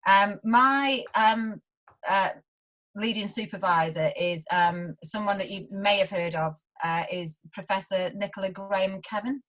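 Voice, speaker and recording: Lively woman researcher. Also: mid-range voice, poor audio. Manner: animated, quick to laugh, acts out quotes. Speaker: guest researcher. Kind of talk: casual interview chat.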